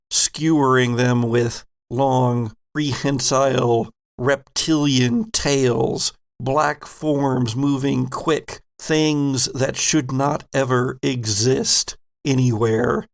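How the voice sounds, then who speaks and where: Slow, gravelly game master. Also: male voice, mid-range voice. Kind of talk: narrating a tabletop game.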